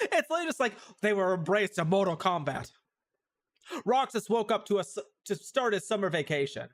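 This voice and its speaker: A woman's voice: medium pitch, sing-song, a little fast. A woman giving casual, playful and giggly livestream commentary as she reacts to video game recaps.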